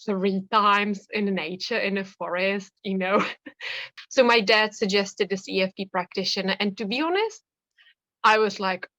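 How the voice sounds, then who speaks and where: A Czech-accented woman in her thirties talks in a chatty, animated way and laughs easily as she speaks. Her voice sits at an ordinary middle pitch. The recording is close and dry, with no room sound. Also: a little slow, dry recording, rough recording. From Czech Republic. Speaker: hypnotherapist guest. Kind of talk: casual interview storytelling.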